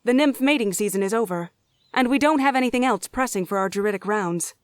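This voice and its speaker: A woman playing an eager, curious young druid in a fantasy audio drama, oblivious and quick to defend herself. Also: medium pitch, expressive, no echo, studio quality, lightly acted. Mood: breezy.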